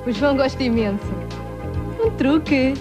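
A woman plays a cheerful home cook in a TV food advert. The voice is fairly high, with music underneath. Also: normal speed, close to an everyday voice. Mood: confiding.

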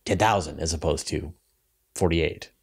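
A man's voice: very deep voice, normal speed, vocal fry, a little mellow, husky. A middle-aged man hosting a conversational science interview over a video call, thinking aloud as he builds long questions. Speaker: interview host. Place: indoor room with shelves, video call.

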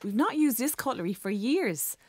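An actress playing a busy, fussy hotel woman in a children's audio story, with a brisk, expressive delivery. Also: a little high. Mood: harried.

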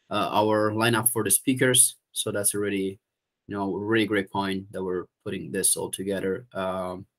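A man's voice: fairly low pitch, a bit bright. A young man with an Italian accent, talking hesitantly with many restarts as he updates a community call from home over a video call. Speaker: event organizer. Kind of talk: casual video call meeting. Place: room at home.

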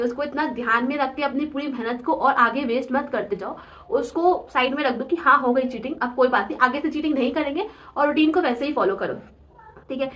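A woman's voice: high voice, fairly bright tone. A young woman in her twenties talking through her daily routine in a chatty, encouraging way, filmed at home by a camera in front of her. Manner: chatty, encouraging, keeps saying "theek hai". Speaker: vlogger. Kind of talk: daily routine vlog, motivational chat. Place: small room at home, sitting on bed.

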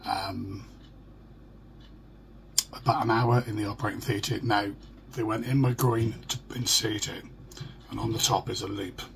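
A middle-aged British man with a hoarse, croaky voice, talking haltingly and in a downbeat way in a casual personal video diary. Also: lowish voice, steady tone, a bit bright. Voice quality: croaky, gravelly. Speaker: vlogger. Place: small furnished room at home. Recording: noisy home phone or webcam recording.

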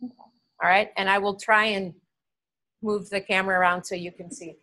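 A middle-aged woman leading a seminary class, friendly and animated, speaking to a camera on the table in a large classroom. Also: mid-range voice, normal speed, poor audio. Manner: friendly, animated, gestures with hands. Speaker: seminary instructor. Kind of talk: classroom teaching and prayer. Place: large classroom with drop ceiling. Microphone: camera on the table, below the speaker.

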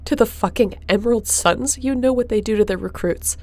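A woman's voice: on the high side, brisk, darkish tone. A woman playing a tough, defiant young smuggler in a sci-fi audio drama, blunt, emotional and confrontational. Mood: angry, betrayed.

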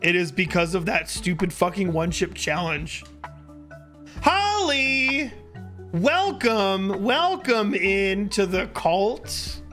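Male streamer, early 30s, high hoarse voice, casual chat, close boom mic at home, music behind. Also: big pitch swings, takes it slowly, sharp tone. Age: early thirties. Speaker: live streamer. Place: indoor home streaming room. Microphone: close boom-arm microphone.

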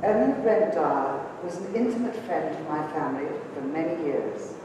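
A woman's voice: deep voice, lively intonation, moderate pace. A middle-aged woman, reading a prepared tribute from a church lectern. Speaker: speaker at a memorial service. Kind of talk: prepared tribute speech read aloud. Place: large church, indoors. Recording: echoey church, distant mic, noisy.

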